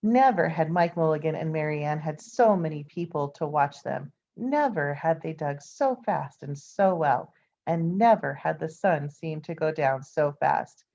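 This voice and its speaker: A woman reads a children's picture book aloud in a fairly low, very mellow voice. She tells it expressively, with informal asides. The recording is slightly dull and lo-fi. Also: lively intonation, measured pace, gravelly, slight background noise. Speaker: storybook reader. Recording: dull, lo-fi sound.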